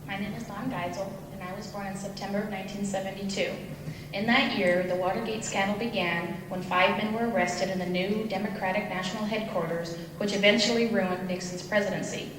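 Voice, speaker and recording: A woman's voice: medium pitch, fairly even intonation, brisk, background noise. A woman reading a prepared speech formally to an audience, heard from a distant mic in an echoey hall. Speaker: student presenter.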